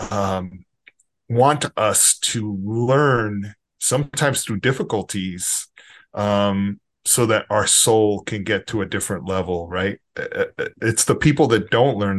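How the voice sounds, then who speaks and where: A man's voice: fairly low pitch, a bit bright. A man hosting a podcast, talking about faith in an earnest, meandering way. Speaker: podcast host. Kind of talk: casual faith discussion.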